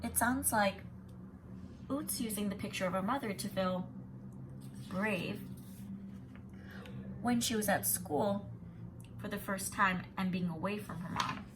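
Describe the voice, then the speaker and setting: A woman teacher reading a children's story expressively and pausing to explain it in an instructive, emphatic way. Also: mid-range voice, noisy background. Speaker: kindergarten teacher.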